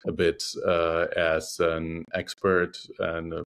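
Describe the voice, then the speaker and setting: A deep-voiced man whose first language is Russian answers interview questions. He speaks in a measured, thoughtful way and often pauses to find the right word. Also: monotone, a bit bright, clean tone. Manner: measured, thoughtful, frequent hesitations. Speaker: interview guest, arbitration lawyer. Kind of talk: conversational interview.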